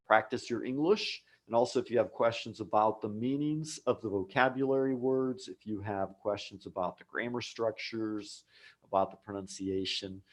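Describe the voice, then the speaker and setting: A man's voice: on the low side, normal speed. An American man teaching a live English lesson online, speaking patiently and repeating key words for learners. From United States. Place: home office abroad.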